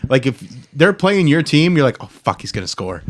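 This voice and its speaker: A man's voice: a little high, sing-song, normal speed, a little hiss or hum. A man hosting a podcast in casual chat, with a loose, informal way of talking. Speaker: podcast host.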